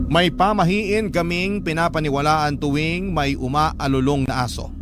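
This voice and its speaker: A man narrating a listener's letter in the first person, earnest and hushed, for a radio drama. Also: mid-range voice. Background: background music.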